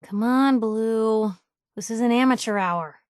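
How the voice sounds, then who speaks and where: A woman's voice: a little high, unhurried, no room sound, lightly acted. Actress doing a young woman reporter sidekick from a video game, wisecracking and loyal, super dry close-up sound. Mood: exasperated, sharp. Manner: wisecracking, sardonic, conversational. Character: wry, plucky young woman reporter, loyal and outspoken companion. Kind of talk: scripted video game companion dialogue.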